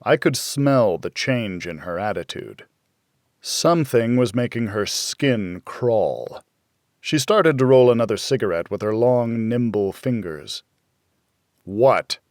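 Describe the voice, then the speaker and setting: Unhurried American man narrating, expressive intonation. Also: mid-range voice, no room sound, studio quality. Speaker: audiobook narrator. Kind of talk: scripted first-person noir thriller narration.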